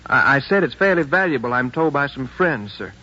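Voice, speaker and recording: A man playing a poor but quietly stubborn landowner in a 1950s American radio drama, hesitant and courteous, repeating his words, with an American accent. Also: medium pitch, expressive, a little fast. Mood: polite, firm. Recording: muffled, noisy 1950s radio recording.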